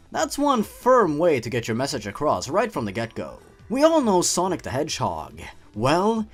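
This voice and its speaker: Male online story narrator, sarcastic and highly animated, mock-dramatic delivery over background music. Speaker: YouTube creepypasta reader and commentator. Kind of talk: scripted commentary and dramatic story reading.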